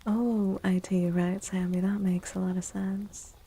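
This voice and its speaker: A woman speaking softly and breathily, often near a whisper, in a gentle ASMR livestream style. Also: medium pitch, flat delivery, measured pace, slightly rough. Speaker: streamer and tarot reader. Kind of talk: soft-spoken ASMR livestream chat.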